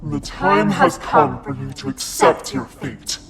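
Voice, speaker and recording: Creaky, menacing old grandmother spirit. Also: mid-range voice, big pitch swings, soft background music, exaggerated voice. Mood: commanding fury. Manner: slow, theatrical taunting. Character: menacing supernatural old grandmother, contemptuous and domineering. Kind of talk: horror game cutscene acting.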